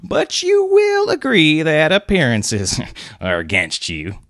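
A man voicing a cool, sardonic young gentleman, contemptuous and dryly polite. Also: fairly high pitch, huge pitch swings, slow pace, no echo, studio quality, voice pushed up, exaggerated voice.

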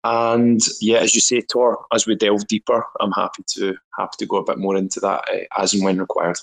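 Man, Scottish accent, lowish pitch, monotone, measured, panel guest. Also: creaky, poor audio. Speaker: head of marketing. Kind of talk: casual panel chat.

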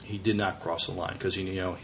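A man co-hosting a podcast, chatting casually and haltingly, often repeating words as he searches for phrasing. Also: low-pitched, level tone, vocal fry, fairly bright tone, slight background noise. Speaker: podcast co-host. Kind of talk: casual chat reviewing a TV series.

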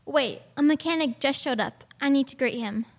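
A woman's voice: high-pitched, normal speed, slight background noise, natural voice. A young girl playing a polite, secretly sinister mansion hostess in an amateur radio play. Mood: stressed, defensive. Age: child or teenager.

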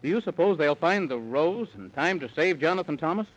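A man's voice: fairly high pitch, lively intonation, a little fast, slight background noise. A man announcing a 1930s children's radio serial, putting coaxing questions to his young listeners. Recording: muffled old 1930s radio recording.

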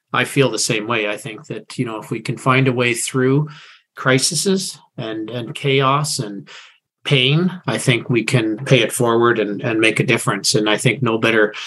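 A man's voice: medium pitch, a bit bright. Chatty male podcast host. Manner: rambling, restarts phrases, many fillers. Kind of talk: casual interview chat.

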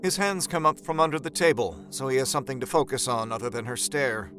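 A man's voice: medium pitch, fast, music in the background. A man narrating a scripted horror story as part of an audio drama.

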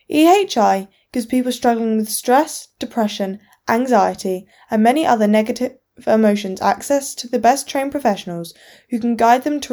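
A woman co-presenter reading out a scripted introduction of a guest in a steady voice. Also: a little high. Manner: steady, read-aloud delivery.

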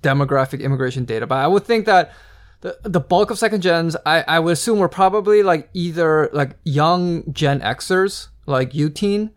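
Man, casual talk, rambling delivery, somewhat high pitch, full of restarts. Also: moderate pace. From United States. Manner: rambling, many fillers and restarts. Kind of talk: casual discussion.

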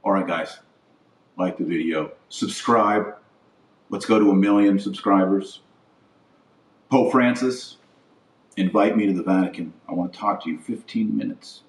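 A man's voice: sits low, a little slow, pauses often. A man hosting a religious podcast, delivering an impassioned opinion monologue in a blunt, emphatic, repetitive way. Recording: roomy echo, distant from mic.

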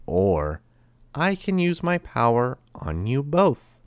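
A man voicing a cruel, calm immortal boy who talks softly and slowly with flat, cheerful menace. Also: mid-range voice, clean tone, no echo, pitched above the performer's own voice. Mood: coldly menacing. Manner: soft, slow, menacing and flatly cheerful. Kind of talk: audiobook character voice.

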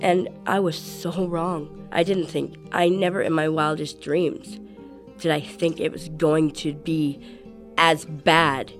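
A woman speaking earnestly and outspokenly as an interviewee, with a loud voice. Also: sits low, steady tone, takes it slowly, music in the background. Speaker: activist interviewee. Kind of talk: casual interview.

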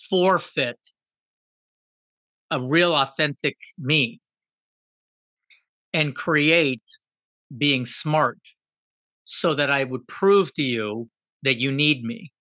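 A man in his fifties from Guyana, telling a personal story in an animated, reflective, self-deprecating way. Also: medium pitch, no echo. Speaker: guest.

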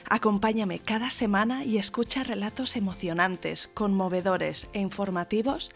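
A woman hosting a podcast, welcoming her guest and asking gentle questions. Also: medium pitch, steady tone, normal speed, vocal fry, faint music behind. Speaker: podcast host. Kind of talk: podcast interview.

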